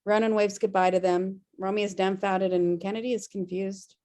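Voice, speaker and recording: A woman's voice: mid-range voice, steady tone, moderate pace, a little mellow. A woman narrating stage directions from a script during a table read on a video call.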